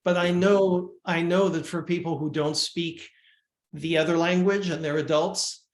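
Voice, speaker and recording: An older man from Uruguay, a musician guest, talking in a measured, reflective way with frequent restarts. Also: fairly high pitch, fairly even intonation. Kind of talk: casual interview talk. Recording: echoey room.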